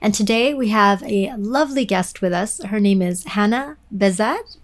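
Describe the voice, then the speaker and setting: A woman's voice: mid-range voice, moderate pace. Podcast host: woman, friendly and eager, mid-range voice, repeats words as she restarts. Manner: friendly, enthusiastic, with stammered restarts. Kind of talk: podcast interview hosting.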